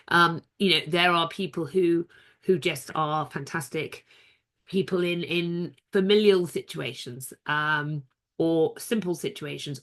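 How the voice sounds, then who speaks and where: Woman, low voice, bright and forceful, nasal from a cold, chatty seminar coach. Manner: chatty, rambling, with restarts. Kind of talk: online seminar talk.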